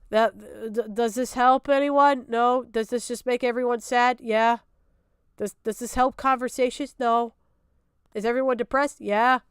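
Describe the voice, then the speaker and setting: A woman's voice: sits high, a bit bright, clean tone, dry recording, clean studio sound. A woman streaming unscripted reaction commentary, exasperated, sarcastic and prone to swearing.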